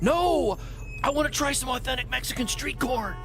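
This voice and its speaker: A man's voice: very high-pitched, animated, normal speed, over music. An actor playing a self-assured, flippant wrestling promoter with a glib salesman's patter in a video game cutscene. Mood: excited, shouting. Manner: glib, upbeat salesman-like delivery. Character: fast-talking, self-assured small-time male wrestling promoter, cheerfully flippant.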